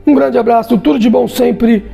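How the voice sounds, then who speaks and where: A man's voice: very high voice, fairly even intonation, normal speed, vocal fry, mellow, faint music behind. A Brazilian man giving a casual comic book review, chatty and tagging his sentences with little check-ins. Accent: Brazilian Portuguese.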